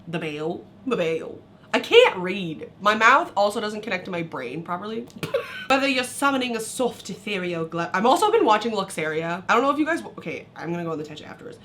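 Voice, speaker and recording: A young woman with a hoarse, sick voice, chatting casually through a makeup review, laughing often and going off on tangents. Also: fairly low pitch, fairly bright tone, slight background noise. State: hoarse from illness. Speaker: beauty vlogger.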